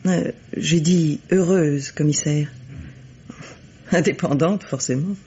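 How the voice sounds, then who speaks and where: An actress playing a cynical, haughty bourgeois woman in a French radio mystery, speaking in a measured, articulate, coolly disdainful way. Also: deep voice, dark tone, slight background noise. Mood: cynical, frank. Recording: dull vintage radio recording.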